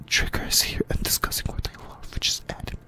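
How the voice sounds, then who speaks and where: An amateur ASMR host speaks entirely in a breathy whisper. The delivery is hushed and informal, drifting into casual asides while presenting to listeners. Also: a little fast.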